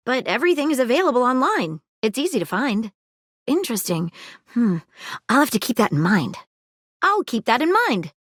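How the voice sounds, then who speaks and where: A woman's voice: fairly high pitch, no echo, clean studio sound. A woman voicing an eager, inquisitive young female detective in a video game, earnest and full of facts. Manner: earnest, inquisitive, fact-loving. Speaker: voice actor. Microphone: studio mic, dry booth.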